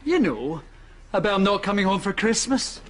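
A courteous, sympathetic young Irishman in a light sitcom. His voice is high and gentle, with faint studio noise behind it. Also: sing-song, moderate pace. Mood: polite, sympathetic. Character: polite, sympathetic younger man visiting the family.

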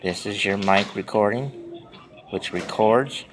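A man explaining something step by step in plain, halting, repetitive short phrases, like a simple tutorial. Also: lowish voice. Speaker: tutorial presenter. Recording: noisy handheld phone recording with clicks.

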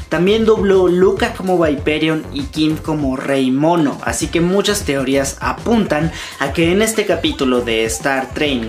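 A man in his twenties hosting a fan news vlog, speaking emphatically and directly to his viewers from a room at home. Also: fairly high pitch. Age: 20s. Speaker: YouTube host. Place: small room at home, indoors. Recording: music behind the voice. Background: background music.

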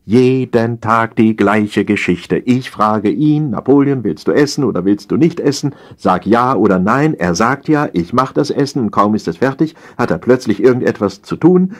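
A man's voice: mid-range voice, pitched above the performer's own voice, natural voice. A man voicing a nagging, put-upon housewife empress who scolds and complains, in a comic audio story.

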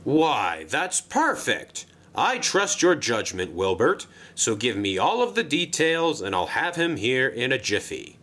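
A man's voice: medium pitch, very animated, a little slow, few pauses, fairly bright tone. A man playing a pompous, polite older railway controller in a children's story, formal and effusive. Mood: hearty, eager. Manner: formal, effusive, flustered when surprised.

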